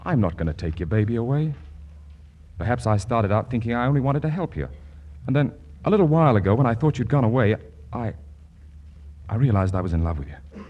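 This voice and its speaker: An actor playing an earnest, flustered young man, excitable and pleading, in 1940s radio romantic comedy. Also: mid-range voice, brisk, pauses often, phone-quality sound. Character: earnest, flustered young suitor and exasperated son.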